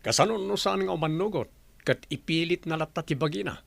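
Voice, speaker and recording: An actor playing a young womanizer in a radio drama, cocky but protective, with a creaky voice. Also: on the high side, a little fast, dry recording. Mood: defensive. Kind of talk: radio drama acting in Ilocano.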